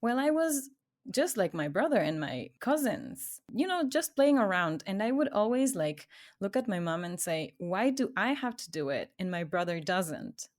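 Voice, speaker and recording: A woman's voice: medium pitch, big pitch swings, normal speed. A woman from Ecuador, co-hosting a podcast, telling her own story in a casual, chatty way and laughing often. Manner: chatty, laughs often, trails off quietly at phrase ends. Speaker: podcast co-host.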